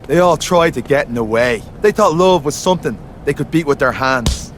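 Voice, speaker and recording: Game role: man playing brawny wrestler smitten with love, somewhat high-pitched, noisy backdrop. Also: lively intonation, normal speed, close to an everyday voice. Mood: lovestruck. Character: brawny lovestruck male brawler wrestler. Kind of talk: scripted wrestling game cutscene acting.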